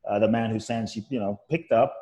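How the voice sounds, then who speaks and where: A man's voice: lowish voice, fairly even intonation, normal speed, dark tone. Earnest man telling his story. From Ghana, raised in London. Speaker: guest, author and coach. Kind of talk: talk show interview.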